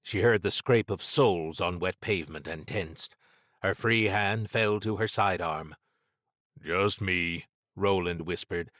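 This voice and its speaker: Low-voiced male audiobook narrator, dry sound. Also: unhurried, a bit bright, no echo. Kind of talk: scripted fiction narration.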